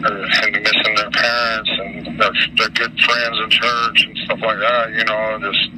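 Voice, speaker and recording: A middle-aged American man from Utah giving informal interview answers in a halting, repetitive, folksy way. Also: on the low side, little pitch movement, heavy background noise. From Utah, United States.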